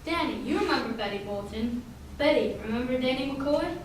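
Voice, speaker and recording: A woman playing a genteel, wealthy gentleman in old-time radio drama style. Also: sits high, animated, brisk. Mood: genteel, troubled. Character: genteel wealthy male estate owner hosting a reunion. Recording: very echoey hall, distant mic, faint noise.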